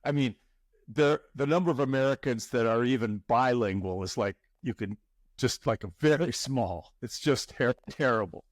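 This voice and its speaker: A man's voice: mid-range voice, normal speed, no echo, clean studio sound. A man hosting a podcast interview, chatty, often repeating words as he starts a thought. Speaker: podcast host.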